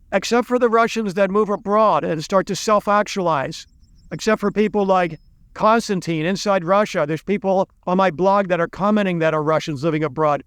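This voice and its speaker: Middle-aged American man, higher pitch, smooth clear voice, rambling unscripted lecture. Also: fairly bright tone, dry recording. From United States. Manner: loose, rambling, with restarts and asides. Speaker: college teacher and video lecturer. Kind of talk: unscripted talk to camera.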